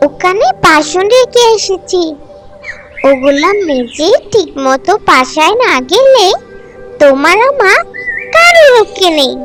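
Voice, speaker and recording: A sweet, lonely little girl in a children's fairy-tale cartoon. Her voice is extremely high and childlike, with slow, plaintive, obedient delivery. Loud music runs behind her. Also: big pitch swings, full character voice. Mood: tender, playful.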